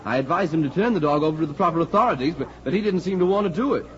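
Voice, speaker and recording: A deferential young college student played by a male actor, hedging in a high voice. It is vintage radio acting, with the muffled sound of an old broadcast. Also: expressive, rapid-fire, lightly acted. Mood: defensive, hesitant. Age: young adult. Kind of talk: 1940s radio drama acting. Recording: dull 1940s radio recording.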